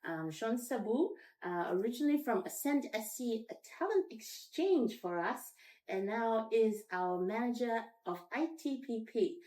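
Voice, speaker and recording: Woman hosting a panel chat, medium pitch, asking guests questions. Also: darkish tone, low-quality recording. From born outside the US. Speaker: guest host of a workplace panel. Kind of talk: moderated panel conversation.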